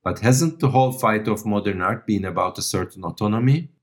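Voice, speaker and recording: A man moderating a discussion, posing measured questions to a guest. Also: on the low side, animated.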